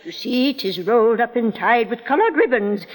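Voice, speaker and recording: Reverberant old radio drama, actress as desperate accused mother, earnest and respectful, expressive swings in pitch. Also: normal speed, natural voice. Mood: earnest pleading. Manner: deferential, earnest. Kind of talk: 1940s radio drama acting.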